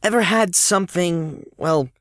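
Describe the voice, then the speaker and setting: A woman's voice: on the low side, unhurried, dry recording, clean studio sound, lightly acted. A woman voicing an anxious, scatterbrained teenage schoolboy in an audio drama, hesitant and exclamatory. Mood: worried, sincere.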